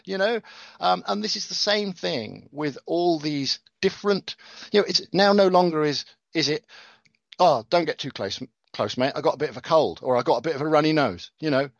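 A man comments on the news with a dry, sardonic edge, tripping over words and circling back as he reads. His pitch rises and falls a lot as he talks. The sound is dry and close, with no room echo. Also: expressive, moderate pace, creaky. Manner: sarcastic, rambling, stumbles over words and restarts. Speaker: podcast host reading news. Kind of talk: casual commentary on news articles.

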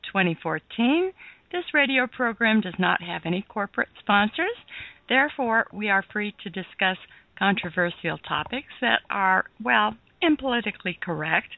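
A woman hosting a talk radio show with a gravelly edge to her voice. She reads her opening in a measured, deliberate way, close and dry. The sound is thin and narrow, like a phone line. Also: mid-range voice, no room sound. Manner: measured, deliberate reading of contact details. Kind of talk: scripted show opening, then casual chat.

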